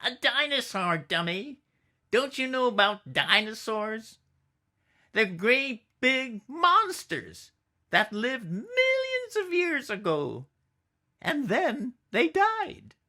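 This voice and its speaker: A man's voice: extremely high pitch, sing-song, takes it slowly, bright, forceful tone, voice pushed up, heavily acted. A man voicing a boastful little boy, a know-it-all big brother who teases and explains dramatically in a storybook reading. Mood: scornful.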